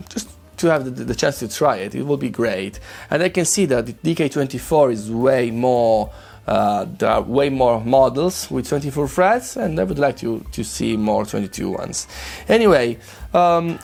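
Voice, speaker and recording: Italian-accented guy, gravelly and a bit hoarse, easygoing gear talk with stops and restarts, faint music behind. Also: mid-range voice, darkish tone. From Italy. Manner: hesitant, many restarts, friendly. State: voice rough from a flu. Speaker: guitar gear reviewer. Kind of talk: casual demo chat to camera.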